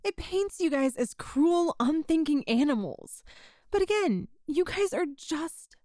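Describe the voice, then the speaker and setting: A woman playing a kind, earnest human rescuer in an ASMR roleplay, speaking in a gentle, reassuring, folksy way to the listener. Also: high-pitched, lively intonation, measured pace, dry recording, studio quality. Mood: impassioned.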